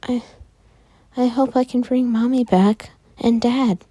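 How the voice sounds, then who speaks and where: Storybook little girl, played by a woman: high, timid, tearful and bewildered, softly toned. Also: steady tone, slow pace, dry recording. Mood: bewildered, hopeful.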